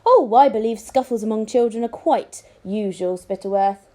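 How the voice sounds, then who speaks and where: A woman voicing a complacent, easily flattered male king in a storybook, speaking in a regal manner. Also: fairly high pitch, normal speed, natural voice. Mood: unbothered. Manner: regal, mildly incredulous. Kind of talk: children's storybook character voice.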